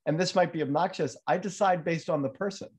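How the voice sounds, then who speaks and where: A man's voice: on the high side, quick pace, a little mellow, husky. Man host thinking aloud, hedging his questions. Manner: thinks aloud with hedges and fillers, chimes in with frequent agreement. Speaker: interview host. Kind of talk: casual interview questions.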